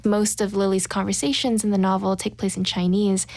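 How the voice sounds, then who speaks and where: A woman novelist talks in a literary interview, reflective and articulate. Her mid-pitched voice carries a strong creak and is recorded very dry, with little room around it. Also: level tone, brisk, a little mellow, no room sound. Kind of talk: thoughtful literary interview talk.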